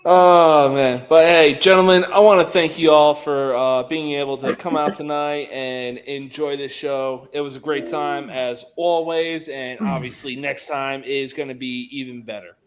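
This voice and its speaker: A man's voice: fairly high pitch, steady tone, a little slow, few pauses. Laid-back male sports podcast host. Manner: loud laid-back bro talk, says "hell yeah" a lot. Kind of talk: casual sports talk show.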